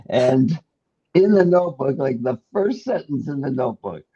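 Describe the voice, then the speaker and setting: Middle-aged American man, husky storyteller. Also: medium pitch, lively intonation, unhurried, mellow, highs cut off. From United States. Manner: self-deprecating storyteller, laughs often. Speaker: host. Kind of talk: casual chat.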